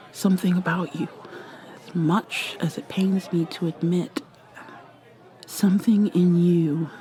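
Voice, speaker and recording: Ageless guarded vampire mermaid woman, played by a woman, whispery, slow, halting, faint crowd murmur. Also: deep voice. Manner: soft-spoken, often whispering, halting restarts. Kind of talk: ASMR roleplay.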